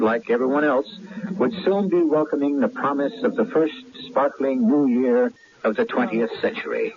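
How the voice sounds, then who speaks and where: Low-voiced man narrating radio drama. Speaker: narrator, host. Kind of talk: scripted old-time radio drama narration. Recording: dull lo-fi radio recording. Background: music.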